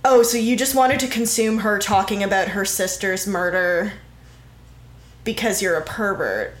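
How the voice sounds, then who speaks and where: A woman's voice: mid-range voice, normal speed, echoey room. A young woman podcast co-host chatting casually, with a sarcastic edge. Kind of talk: casual chat.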